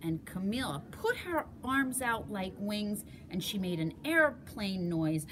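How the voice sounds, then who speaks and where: A woman teacher reading a picture book aloud, telling it slowly and expressively. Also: mid-range voice, slight background noise. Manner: slow, expressive storytelling.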